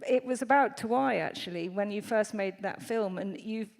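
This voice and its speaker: A woman's voice: mid-range voice, normal speed, a little mellow. Woman moderator, live panel, long articulate questions, lecture hall reverb.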